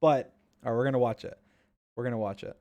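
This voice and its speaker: A man's voice: medium pitch, steady tone, fast talker, darkish tone, slight background noise. A man casually commenting on his own gameplay clips during a stream, rambling and repeating himself with enthusiasm.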